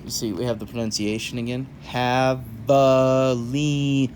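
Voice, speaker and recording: A man's voice: mid-range voice, level tone, measured pace, a little mellow, slight background noise. A man reading a children's story aloud in a folksy, rambling way, breaking off for casual, jokey asides. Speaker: host of a children's read-aloud show.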